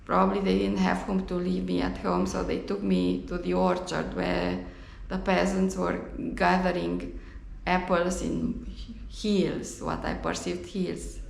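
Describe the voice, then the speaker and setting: A woman from Romania with a Romanian accent tells a personal story in a halting, reflective way, recorded on an action camera in a roomy space. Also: fairly low pitch, creaky. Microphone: GoPro camera. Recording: roomy echo, faint background noise.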